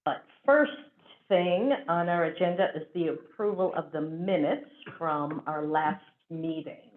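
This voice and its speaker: A woman's voice: low voice, measured pace. A woman chairing a school board meeting, running through procedure with frequent fillers. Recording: tinny phone-like audio.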